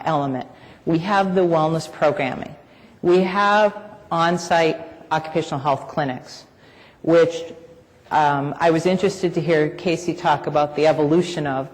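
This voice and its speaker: A man lays out his company's safety and wellness approach at a conference, talking in a measured, explanatory way with frequent fillers. His voice sits somewhat high for a man. It carries a long reverb from the large hall around him. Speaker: corporate safety and wellness leader. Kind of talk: conference panel talk.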